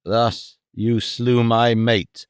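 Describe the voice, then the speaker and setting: A man voicing a grim, vengeful jungle-raised hero who speaks low, slow and menacing. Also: smooth, no echo, clean studio sound, natural voice.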